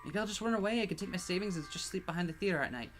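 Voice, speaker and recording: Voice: mid-range voice, expressive, fast talker, soft background music, natural voice. A storyteller voicing a distraught poor young woman who speaks in a wavering, sobbing voice. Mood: wailing. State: crying. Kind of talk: storytelling voice acting.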